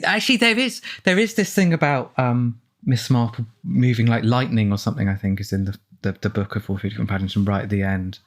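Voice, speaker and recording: Male commentary guest, middle pitch. Conversational, discursive, hesitant, restarts sentences mid-thought. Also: a little fast, hardly any pauses. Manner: hesitant, rambling, self-interrupting. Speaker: author and film commentary guest. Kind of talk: casual film commentary chat.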